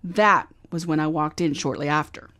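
A woman's voice: sits low, moderate pace, pushed and bright, no echo. An actress playing a confident, wisecracking female private eye in a radio drama, breezy in tone. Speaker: actor. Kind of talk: old-time radio drama acting.

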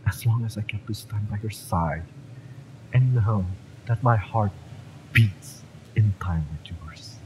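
Man acting as slow, impassioned mercenary lover. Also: lowish voice, noisy background. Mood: exasperated, devoted. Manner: impassioned, emphatic, halting delivery with breathy drops. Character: fiery, devoted male mercenary lover, tough yet tender. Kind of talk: romantic audio roleplay acting.

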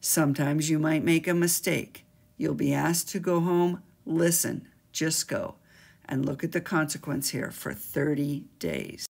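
A woman's voice: low-pitched, lively intonation, unhurried, vocal fry, a little mellow, slightly rough. An older woman on the school staff explaining gym rules to students in an emphatic, sing-song teacherly way, recorded on a webcam in a small office. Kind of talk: instructional school video. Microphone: webcam at desk.

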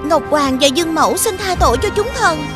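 A woman voicing a young servant fairy girl in an animated fairy tale, earnest and pleading. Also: extremely high pitch, fairly quick, over music. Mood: contrite.

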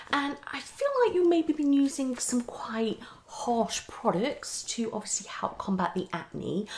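A woman in her thirties doing an ASMR roleplay as a dermatologist, slipping between whispers and soft speech with reassuring clinical patter. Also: fairly high pitch. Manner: hasty, reassuring clinical patter addressed to a patient. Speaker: ASMR performer playing a dermatologist. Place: small living room at home, indoors.

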